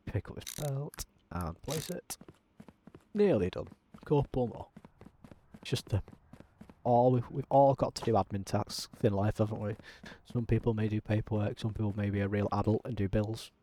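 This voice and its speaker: A man talking through a simulator game as a channel host, in a self-described monotone with frequent ums. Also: lowish voice. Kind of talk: casual gameplay commentary.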